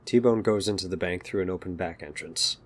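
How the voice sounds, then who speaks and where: A man's voice: low voice, brisk. A man narrating a scripted audio drama, with ambient noise behind him.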